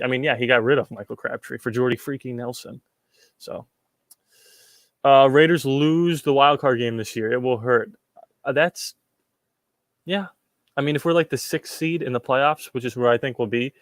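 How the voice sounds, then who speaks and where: A man's voice: medium pitch, a bit bright, no room sound, studio quality. A young man from Puerto Rico hosting a casual livestream, reading chat questions and answering them in a rambling way, with repeated words and many ums.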